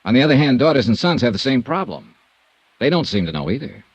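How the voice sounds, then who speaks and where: Give the cool investigator of an old radio drama. He is wry and understated, with a lively, swinging delivery and a husky low voice. The sound is a dull, dry vintage broadcast recording. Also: no room sound. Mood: dry, easygoing. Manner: dry, understated, measured first-person narration and talk. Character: cool, wry male freelance insurance investigator. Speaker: insurance investigator, narrator and lead. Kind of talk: 1950s radio detective drama acting.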